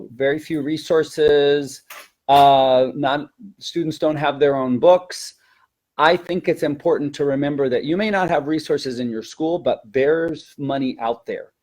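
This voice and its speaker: A man's voice: a little high, slow pace, darkish tone. A man speaking as a language teacher and presenter in a conversational training webinar, emphatic, with rhetorical questions and stop-and-start phrasing.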